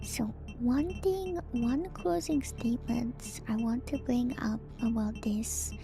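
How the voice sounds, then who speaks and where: Casting: female ASMR streamer, hushed breathy whisper with creaky edges, musing lore commentary, music behind. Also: on the high side, takes it slowly. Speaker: streamer, host of a lore breakdown.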